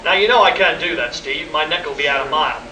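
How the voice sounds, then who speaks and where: A man in a live radio play acting a skeptical military colleague, brisk, placating and exasperated. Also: fairly high pitch, natural voice. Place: convention hall stage, live performance. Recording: echoey distant live hall recording, faint noise.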